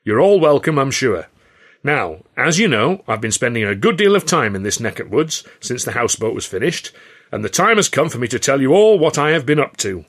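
A man's voice: mid-range voice, big pitch swings, a little fast, fairly bright tone, voice pushed up. A man voicing a smug, domineering showman boss with pompous, theatrical speechifying, in an audiobook. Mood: proclaiming.

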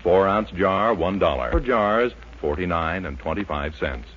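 A man's voice: deep voice, expressive, unhurried, fairly bright tone. An American man announcing in a polished 1940s radio commercial style. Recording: muffled, noisy old radio recording.

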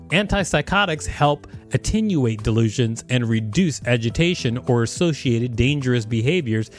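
Man, podcast co-host, steady article reading, mid pitch, faint music behind. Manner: plain steady reading, joking in the chat breaks. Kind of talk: reading an encyclopedia article aloud.

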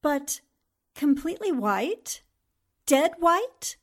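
A woman playing a sharp-witted, skeptical newspaper reporter in a 1940s-style radio drama, with a no-nonsense manner. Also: high voice, sing-song, slow, heavily acted. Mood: alarmed.

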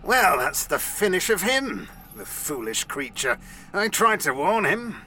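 A man's voice: high voice, sing-song, unhurried, bright, forceful tone, slight background noise, full character voice. An actor playing a vain, manipulative fairy king and father who wheedles, pleads and threatens theatrically. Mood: dismissive.

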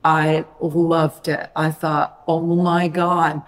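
A middle-aged woman from Maine, a podcast guest telling her life story in a casual interview, animated, with quick laughs and frequent restarts. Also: deep voice. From Maine, USA. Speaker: podcast guest, wine critic.

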